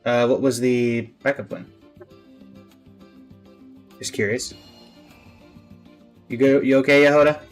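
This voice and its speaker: A man of medium pitch comments casually on a video game. He talks to himself as he plays. Faint music runs behind his voice. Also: fairly even intonation, takes it slowly, pauses often. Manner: talks to himself while playing. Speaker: game streamer.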